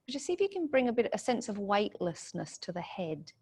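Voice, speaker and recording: A woman leads a guided meditation, giving soothing, gentle instructions in short phrases. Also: medium pitch, big pitch swings, fairly quick, slightly rough.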